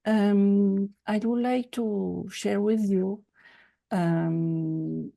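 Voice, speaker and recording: Gravelly, slow-talking woman lecturer. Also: mid-range voice, fairly even intonation, warm tone. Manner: hesitant, with many filler sounds. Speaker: guest lecturer. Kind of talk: academic presentation. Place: conference session.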